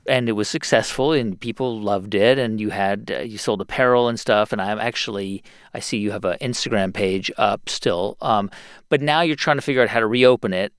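A man's voice: on the low side, fairly quick, clear, resonant tone, dry recording, clean studio sound. A man hosting a call-in advice show, friendly, often repeating words as he thinks aloud. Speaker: show host.

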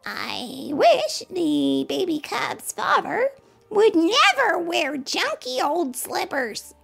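Lady doing a nosy monkey from a kids story, high voice, judgy. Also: very animated, takes it slowly, creaky, fairly bright tone, soft background music, heavily acted. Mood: disapproving. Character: meddling, judgmental monkey. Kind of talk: children's storybook read-aloud.

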